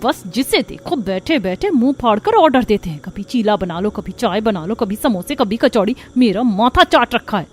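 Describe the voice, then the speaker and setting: A woman's voice: fairly high pitch, lively intonation, moderate pace, few pauses. A woman voicing a harried, resentful young daughter-in-law in a family cartoon, with an exasperated, complaining manner. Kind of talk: Hindi family cartoon dubbing.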